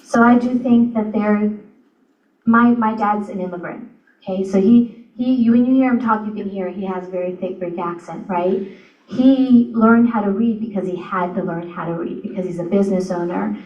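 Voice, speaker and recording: A woman's voice: medium pitch, moderate pace. A woman from Virginia moderating a panel, talking in a chatty, personal way. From Virginia, USA. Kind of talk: panel discussion. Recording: dull echoey room mic from a distance.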